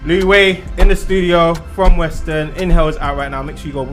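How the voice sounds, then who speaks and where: A man's voice: a little high, fairly quick, music in the background. A man with a London accent hosting a casual radio interview in informal, slangy speech. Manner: informal, slangy, calls guest bro.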